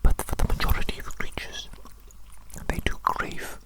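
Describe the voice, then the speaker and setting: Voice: normal speed, natural voice. A performer voicing an eccentric, doting evil doctor in a whispered ASMR roleplay, repeating phrases in soothing, formal wording. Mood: doting, reassuring. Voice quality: breathy unvoiced whisper. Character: eccentric evil mad scientist doctor, doting creator, obsessed with science.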